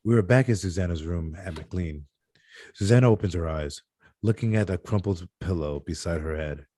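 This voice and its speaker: A man's voice: low-pitched, normal speed, dark tone. A man reading screenplay stage directions aloud, sometimes stumbling over a word and correcting himself. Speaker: reader of stage directions at a script table read. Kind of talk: scripted narration read aloud live.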